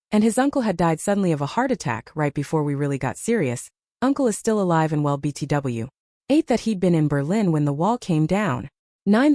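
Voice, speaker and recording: Woman narrator, mellow low voice, lively pitch, numbered list, dry close sound. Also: dry recording. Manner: numbered list read with a lift on each number. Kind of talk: reading a reddit story aloud.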